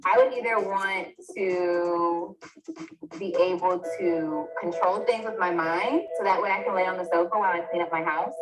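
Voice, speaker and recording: A young woman, a college advocate, leading questions in a relaxed, easygoing way. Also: fairly low pitch, moderate pace, rough recording. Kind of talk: casual Q&A chat. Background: background music.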